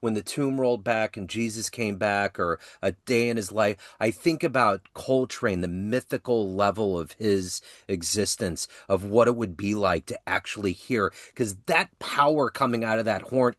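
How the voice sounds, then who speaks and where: Male podcast host, lowish voice, dry close sound, enthusiastic and affirming. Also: lively intonation, normal speed, hardly any pauses, no room sound. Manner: effusive, frequent yeah affirmations. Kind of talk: casual interview questions. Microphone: video call.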